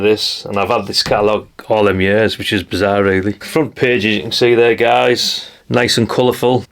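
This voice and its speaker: Casting: middle-aged man, North East English accent, lowish voice, down-to-earth hobbyist presenter, chatty and nostalgic. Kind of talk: casual chatty show-and-tell commentary.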